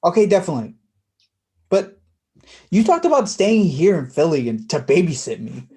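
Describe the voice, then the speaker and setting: Wounded younger brother, read by a woman, naturalistic stage drama, self-blaming and prickly, fairly low and very animated. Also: normal speed, natural voice. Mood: sheepish, surprised. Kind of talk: staged play reading, naturalistic dialogue.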